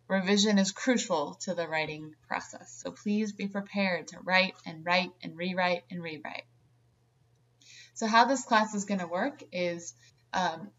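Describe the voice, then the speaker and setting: A woman's voice: on the low side, muffled recording. A middle-aged woman, a creative writing instructor, delivering a recorded online course lecture in an earnest way, with many ums and restarts.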